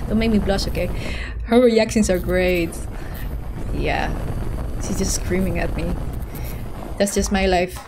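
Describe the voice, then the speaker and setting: A woman's voice: fairly low pitch, a little slow, long pauses. A young Dutch woman co-hosting a VR stream, chatting casually, reading chat aloud and teasing her partner. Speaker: streamer and co-host. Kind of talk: casual live-stream commentary. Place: indoor room at a desk. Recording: steady background noise.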